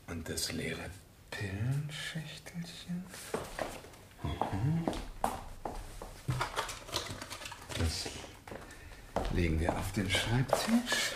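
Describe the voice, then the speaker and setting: A low-voiced, calculating male villain in a radio drama, passing himself off as a friendly gentleman. His delivery swings widely between offhand remarks and sly innocence, recorded in a reverberant room with noise. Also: exaggerated voice. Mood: quietly scheming. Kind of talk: German radio play acting. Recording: roomy sound with clear noise.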